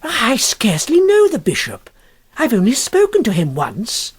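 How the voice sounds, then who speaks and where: Fussy, high-pitched middle-aged gentleman, voiced by a man. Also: wildly swooping pitch, moderate pace, husky, exaggerated voice. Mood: flustered. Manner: prim, fretful, precise. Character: fussy, staid, easily upset middle-aged English gentleman.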